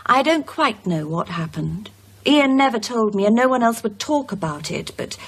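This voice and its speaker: Composed widow, husky and lively-pitched. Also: female voice, normal speed. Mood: troubled. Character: composed widow recounting a troubling past. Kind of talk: naturalistic radio drama acting.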